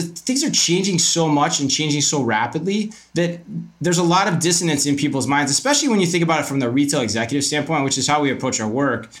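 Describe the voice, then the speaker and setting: A man's voice: on the high side, fairly bright tone. Middle-aged man, candid and talkative. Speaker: guest, retail media co-founder and commentator. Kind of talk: conversational interview answers, telling his story.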